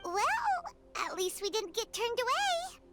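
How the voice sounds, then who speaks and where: A woman's voice: very high-pitched, very animated, takes it slowly, loud music behind the voice, heavily acted. A woman voicing a tiny, childlike fairy companion in a video game, chatty and frank. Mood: let down. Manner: childlike, refers to self in third person. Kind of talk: English video game dub acting.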